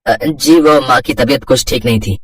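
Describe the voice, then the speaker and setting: A man's voice: medium pitch, normal speed, close to an everyday voice. A man playing a worried, long-suffering middle-aged husband and father in a moral story, earnest and fretful. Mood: polite.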